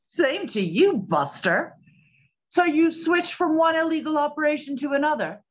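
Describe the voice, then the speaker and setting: Woman playing a sharp-tongued detective, slightly muffled. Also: high-pitched, animated, moderate pace. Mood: accusing. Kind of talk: 1920s period radio-play acting. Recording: slightly dull lo-fi sound.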